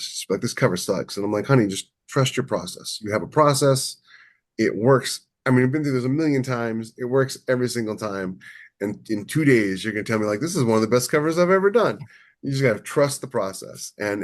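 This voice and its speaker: A man co-hosting a podcast, chatting casually and giving blunt, emphatic writing advice. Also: on the low side. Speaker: podcast co-host, fiction writer.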